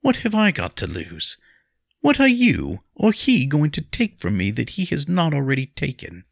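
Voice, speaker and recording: A man's voice: sits low, animated, normal speed, gravelly, a bit bright, husky, dry recording, clean studio sound, voice pushed up, exaggerated voice. A man voicing a short, chubby serf woman from an audiobook, bitter, scornful and defiant, in a mousy voice.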